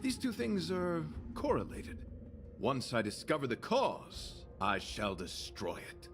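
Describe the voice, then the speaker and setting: A man's voice: medium pitch, huge pitch swings, slow, music in the background, full character voice. A man playing an earnest, lofty knight devoted to beauty in a video game, speaking formally and grandly. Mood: serene, resolute.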